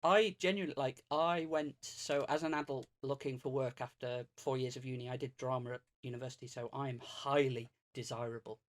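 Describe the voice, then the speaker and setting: A man's voice: mid-range voice, steady tone, fairly quick, few pauses. A man, chatting casually as a guest, rambling and self-deprecating with lots of fillers and restarts. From Greater Manchester, England. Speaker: streamer guest. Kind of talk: casual podcast chat.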